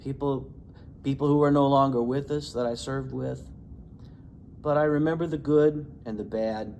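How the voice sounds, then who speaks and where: A man in his fifties from Indiana, an Army veteran introducing his veterans group and reflecting earnestly at a measured pace. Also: mid-range voice, fairly even intonation, gravelly, darkish tone, a little hiss or hum. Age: 50s.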